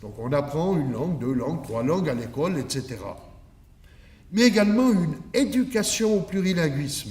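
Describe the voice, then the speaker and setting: A man's voice: fairly high pitch, a little mellow. A man from Switzerland giving an academic conference lecture in a didactic, emphatic manner with rhetorical asides. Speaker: academic keynote speaker. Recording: echoey room, distant microphone.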